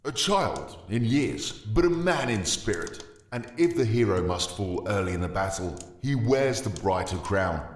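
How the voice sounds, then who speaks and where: A man's voice: lowish voice, expressive, a little slow, slight background noise. A man playing a zealous, fearless missionary in an audio drama, speaking in fervent, oratorical, archaic phrases. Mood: solemn assurance. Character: zealous, fearless missionary monk, bold leader of pilgrims.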